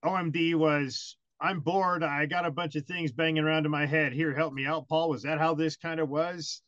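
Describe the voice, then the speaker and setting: A man's voice: on the high side, fairly even intonation, moderate pace, fairly bright tone, no room sound, highs cut off. An American man hosting an interview, rambling and hesitant with many fillers and restarts. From United States. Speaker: interviewer host. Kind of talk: casual interview chat.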